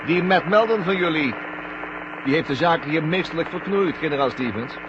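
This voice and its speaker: An actor playing a smug, scornful traitor astronaut who talks in a mocking, condescending way, in a 1970 Dutch radio drama. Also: male voice, a little high, lively intonation, moderate pace, noisy background, natural voice. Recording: muffled, humming 1970 radio recording.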